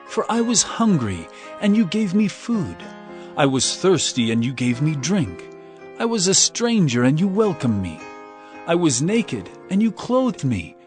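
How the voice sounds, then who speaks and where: Male reader as solemn, majestic divine king, declamatory with wide pitch swings, music behind, scripture drama. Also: big pitch swings, unhurried. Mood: solemn welcome. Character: majestic divine king judging the blessed and cursed.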